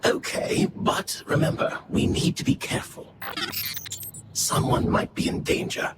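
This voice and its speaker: A woman playing a careful, earnest, analytical young adventurer in a video game, explaining things in a thoughtful, measured way. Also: heavy background noise, natural voice. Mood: focused. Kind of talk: video game cutscene acting. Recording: loud music under the voice. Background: loud music.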